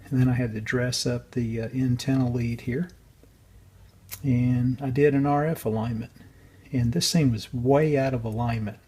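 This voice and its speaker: A man's voice: medium pitch, fairly even intonation, normal speed, warm tone, husky. A man giving a casual, unscripted workbench walkthrough in a hesitant, matter-of-fact way. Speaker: radio restorer and video host.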